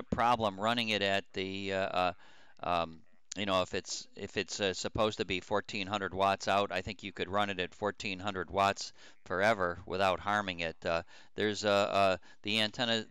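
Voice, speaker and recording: An older man chatting unscripted as an amateur radio operator, explaining things in a halting, repetitive way. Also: sits low, fairly even intonation, pushed and bright, smooth, no room sound. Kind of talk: unscripted ham radio chat.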